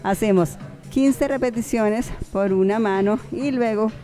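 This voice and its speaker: A woman's voice: on the high side, unhurried, mellow. A young adult woman giving workout instructions as a fitness vlogger, chatty and encouraging, with lots of affectionate diminutives. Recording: background music under the voice. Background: music.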